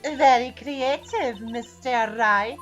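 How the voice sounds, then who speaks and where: A woman voicing an imperious, coolly menacing TV producer in a video game fan dub, haughty and measured, with a condescending manner. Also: on the high side, soft background music. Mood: cool, amused.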